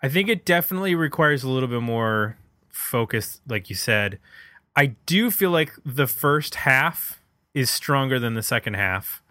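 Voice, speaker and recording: A man's voice: medium pitch, animated, normal speed, sharp tone, no echo, clean studio sound. A man hosting a podcast, casually discussing music in a conversational, opinionated way. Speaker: podcast host.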